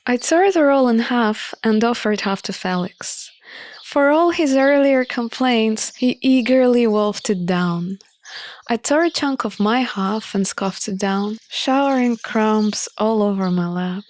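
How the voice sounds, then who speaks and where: A woman reading a visual novel aloud as an expressive narrator of a playthrough. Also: a little high, unhurried.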